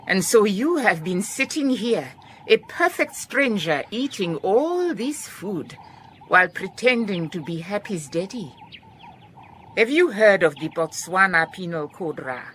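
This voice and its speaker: An actress playing a calm, shrewd, kindly woman detective in a radio drama, measured and politely firm, with a Southern African accent. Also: mid-range voice, a little hiss or hum. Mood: dryly stern. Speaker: lead actor in a radio drama. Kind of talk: radio drama acting with reflective first-person narration.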